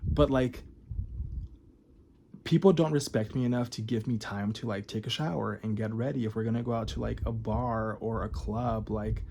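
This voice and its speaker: Man, early thirties, lowish voice, candid and rambling, lots of fillers, confessional vlog delivery. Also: steady tone, moderate pace, gravelly, warm tone, slight background noise. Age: 30s. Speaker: vlogger. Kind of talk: confessional talk to camera.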